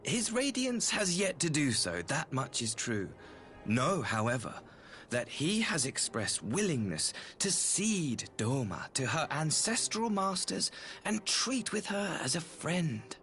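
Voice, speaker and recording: An actor playing a courtly, flattering imperial envoy in a video game, speaking in formal, ornate, diplomatic phrases. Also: male voice, on the high side, slow, exaggerated voice. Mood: measured, persuasive. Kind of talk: scripted video game voice acting. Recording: music behind the voice.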